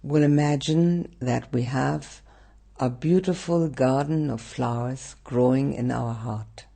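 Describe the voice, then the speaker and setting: A man leading a guided meditation as a teacher, speaking gently and soothingly with long pauses. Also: medium pitch, creaky.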